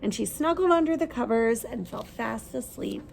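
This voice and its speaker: A woman's voice: a little high, expressive, normal speed, vocal fry, slight background noise, low-quality recording. A woman reading a children's story aloud expressively, putting questions to her listeners as she goes.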